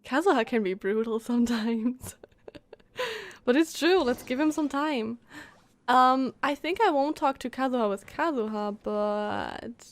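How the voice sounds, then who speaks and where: A woman giving casual live commentary as a game streamer, expressive and emotionally invested. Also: a little high, measured pace.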